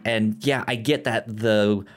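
A man co-hosting a casual film discussion podcast, speaking haltingly and often stammering or repeating words. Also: on the low side, steady tone. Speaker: podcast co-host discussing films.

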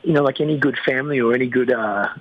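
A man's voice: mid-range voice, rapid-fire, dry recording, dull audio. Australian male singer, easygoing and jokey, dry close sound. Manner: laid-back, joking, says "mate". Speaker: band lead singer, guest. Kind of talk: casual radio interview.

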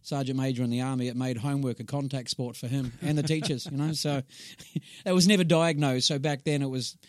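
A man in his fifties with a New Zealand accent, a guest in a casual interview who tells animated stories and laughs as he talks. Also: medium pitch, a little fast, no room sound, clean studio sound. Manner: animated storyteller who laughs through anecdotes. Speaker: guest, inspirational speaker.

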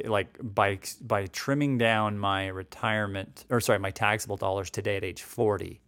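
Gravelly-voiced man in his forties. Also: lowish voice, no echo. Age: 40s. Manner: conversational, frequent "right?" check-ins and restarts. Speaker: financial educator. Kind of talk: explaining retirement accounts.

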